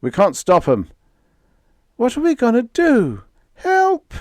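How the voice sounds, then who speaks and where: A man's voice: very high voice, wildly swooping pitch, slow, no echo, pitched above the performer's own voice, exaggerated voice. A man voicing a panicking woman crew member who screams in terror in a science fiction story reading. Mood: panicked. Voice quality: screaming, frantic.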